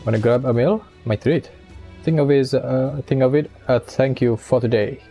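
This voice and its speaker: A man doing casual game playthrough commentary, halting as he reads choices and adds short asides. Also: medium pitch, warm tone, soft background music. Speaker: game streamer.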